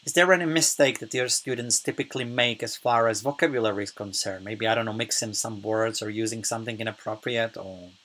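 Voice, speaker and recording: A man with a Czech accent, interviewing guests in English and building his questions slowly, with plenty of "like" and "you know". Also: fairly low pitch, a bit bright. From Czech Republic. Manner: hesitant phrasing, frequent "like" and "you know". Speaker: host, interviewer.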